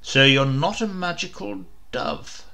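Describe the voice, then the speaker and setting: A man's voice: medium pitch, very animated, unhurried, pushed and bright, clear, resonant tone, exaggerated voice. A man reading a comic storybook and voicing a young boy king who questions a bird with suspicion. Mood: suspicious. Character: young boy king, stern, wielding a sword.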